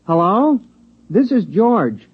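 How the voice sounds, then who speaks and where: An actor playing a small, mild, henpecked talking mouse in a 1950s radio drama, polite and hesitant, speaking plainly. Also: male voice, sits high, big pitch swings, takes it slowly, breathy edge, full character voice. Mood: innocent, curious. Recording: old radio recording, thin telephone-like sound.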